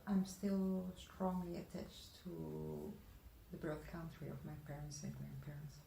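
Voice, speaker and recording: A woman from the Netherlands giving explanatory interview answers as a guest, halting between short phrases. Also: sits low, gravelly, warm tone. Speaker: guest, saving circles trainer. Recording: echoey room, faint background noise.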